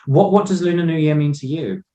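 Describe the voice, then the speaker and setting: A man's voice: on the high side, fairly quick, warm tone, reverberant. A man moderating an online panel, politely introducing each guest over a video call.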